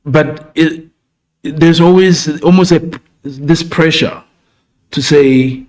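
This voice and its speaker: A man's voice: a little high, slow pace, low-quality recording. A man from Ghana, co-hosting a podcast in a reflective, word-searching manner. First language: Twi. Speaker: podcast co-host and filmmaker. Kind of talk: casual conversation.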